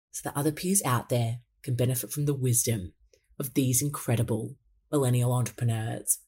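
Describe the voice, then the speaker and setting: A woman's voice: extremely low pitch, slightly rough. A woman hosting a podcast, reading a scripted intro and then chatting enthusiastically with a guest. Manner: enthusiastic, upbeat, animated. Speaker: podcast host.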